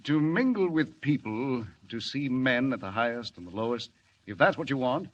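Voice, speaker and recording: A man's voice: medium pitch, lively intonation, normal speed, natural voice. An actor playing a thoughtful, courteous professor and father, musing hesitantly in a 1940s radio drama. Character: grateful, thoughtful professor and father, kindly adviser. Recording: dull vintage radio recording.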